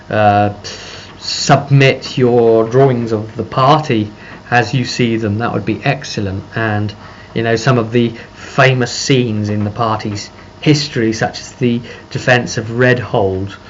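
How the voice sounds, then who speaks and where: Guy recapping his tabletop game, kinda halting n rambly, middling pitch, dull lo-fi sound w a bit of noise. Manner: halting, circling back over phrases. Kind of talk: casual vlog recap, rambling storytelling. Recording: muffled lo-fi audio with background noise.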